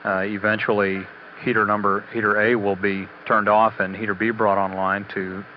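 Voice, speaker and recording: Low-voiced man on dull, noisy mission audio. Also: level tone. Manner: halting, measured, matter-of-fact delivery. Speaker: spaceflight mission commentator. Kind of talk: unscripted technical status briefing.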